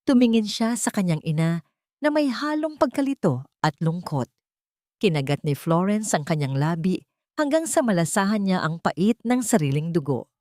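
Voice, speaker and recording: Low-pitched woman narrating a drama, dry sound. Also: a little mellow, dry recording. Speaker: storyteller, narrator. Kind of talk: scripted dramatic story narration.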